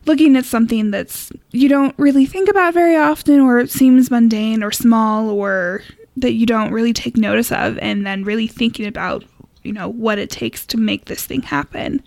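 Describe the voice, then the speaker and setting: Woman podcast host with heavy vocal fry. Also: fairly high pitch, normal speed, darkish tone, dry recording. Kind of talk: casual chat.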